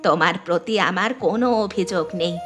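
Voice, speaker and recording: A woman playing a gentle, sorrowful elderly village grandmother in a cartoon, with a soft-hearted, pleading manner. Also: fairly low pitch, brisk, music in the background, close to an everyday voice. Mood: gentle, forgiving. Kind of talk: Bengali cartoon voice acting.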